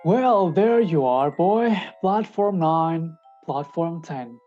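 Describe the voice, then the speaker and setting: Reader acting sneering uncle, drawn-out taunts. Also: mid-range voice, animated. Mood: gloating. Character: mocking, nasty middle-aged uncle. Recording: dull recording with faint music.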